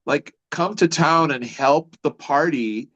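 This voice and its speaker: A man's voice: a little high, a bit bright, highs cut off. Rambling man interviewing. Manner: rambling, halting, many restarts. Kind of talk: casual political conversation.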